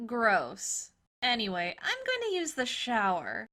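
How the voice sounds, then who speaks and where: A woman's voice: a little high, huge pitch swings, slow pace, bright, forceful tone, exaggerated voice. A woman playing a snippy, sarcastic young woman who is easily spooked, in a cheesy horror audio drama. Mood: dismissive, sarcastic. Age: young adult. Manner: sarcastic, dismissive.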